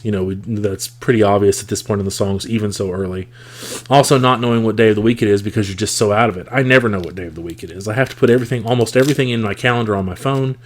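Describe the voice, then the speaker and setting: Congested man with a scratchy voice. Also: on the low side. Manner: reflective, thoughtful, with frequent fillers. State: congested, rough scratchy voice from a cold. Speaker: podcast host. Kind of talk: casual solo podcast talk.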